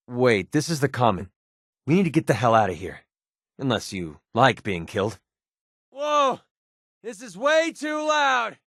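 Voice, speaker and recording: A man's voice: on the high side, a bit bright, no echo, studio quality, exaggerated voice. A man with an American accent playing a sarcastic, wisecracking young mercenary companion in a video game. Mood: irritated, then loud, exasperated. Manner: sardonic, wisecracking, colloquial. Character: wry, sarcastic young male wasteland mercenary. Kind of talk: video game companion dialogue, naturalistic acting.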